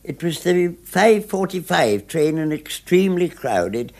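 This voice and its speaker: An actor playing a fussy, nervous English gentleman in a classic radio drama, speaking with formal, stammering hesitancy. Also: a little high, very animated, measured pace. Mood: fussy, puzzled.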